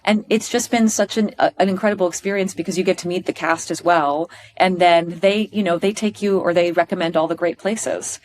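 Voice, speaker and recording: Middle-aged woman from Pennsylvania, stage performer as a podcast guest, slightly low voice, gushing and animated, lots of restarts. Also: fairly quick, hardly any pauses, slight background noise. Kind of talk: casual interview chat.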